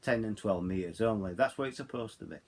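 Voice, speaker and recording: Yorkshire man, middle-aged or older, lowish husky voice, casual hobbyist reviewer, halting delivery, frequent repeated words. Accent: Yorkshire English. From Yorkshire, England. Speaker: hobbyist video host reviewing radios. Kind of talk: casual unscripted product review.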